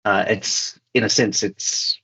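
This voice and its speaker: A man's voice: on the low side, fairly even intonation, slow pace, gravelly, bright, forceful tone, slightly rough. A man hosting a casual interview, thinking aloud as he feels his way through winding, tentative questions. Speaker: interviewer, host. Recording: slightly dull, lo-fi conversation audio.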